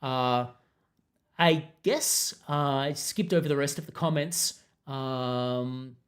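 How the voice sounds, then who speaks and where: Unruffled man with a mixed accent. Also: on the high side. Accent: mixed international accent. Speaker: podcast host. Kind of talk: casual commentary reading out viewer comments.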